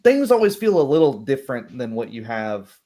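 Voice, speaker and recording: A man talking casually as a game master discussing a roleplay session, halting as he thinks aloud. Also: medium pitch, sing-song, dark tone.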